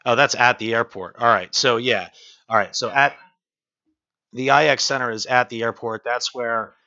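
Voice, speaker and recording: A man hosting a live breaking news stream casually, halting and thinking aloud, on dull lo-fi audio. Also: medium pitch, fairly even intonation, sharp tone.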